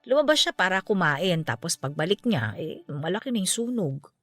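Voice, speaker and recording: Practical middle-aged aunt, low voice, calm and matter-of-fact, radio drama, soft music behind. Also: female voice, normal speed, dry recording. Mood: somber. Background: faint background music.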